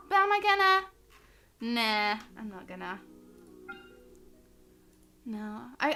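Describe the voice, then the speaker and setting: A woman's voice: sits high, pushed and bright. A woman chatting casually and bubbly as she hosts a gaming livestream, rambling along and talking to her viewers. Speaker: livestream host.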